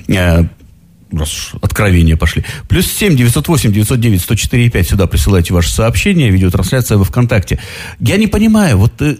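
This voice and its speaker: A man's voice: fairly low pitch, darkish tone, breathy edge. A man in his late forties co-hosting a radio talk show, speaking casually, bluntly and dismissively. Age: late 40s. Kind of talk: casual opinionated on-air banter.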